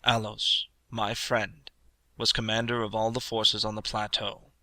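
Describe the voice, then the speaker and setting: A man reading literary fiction as an audiobook narrator, solemn and measured, with dramatic storytelling delivery. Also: on the low side, monotone, sharp tone, dry recording, poor audio. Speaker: audiobook reader.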